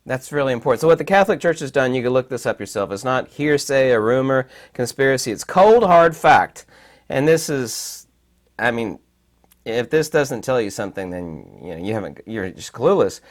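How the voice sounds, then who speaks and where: A man with a raspy, hoarse voice while recovering from illness, giving rambling, emphatic commentary with many fillers. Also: mid-range voice, expressive, moderate pace. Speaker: channel host. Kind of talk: casual commentary to camera.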